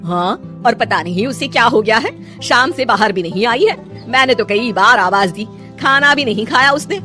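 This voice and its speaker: A chatty older mother-in-law from a family cartoon, put on by a woman narrator. She is bossy yet affectionate, with loud emphatic phrases that rise and fall over soft music. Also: fairly high pitch, expressive, moderate pace. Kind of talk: Hindi cartoon moral story acting.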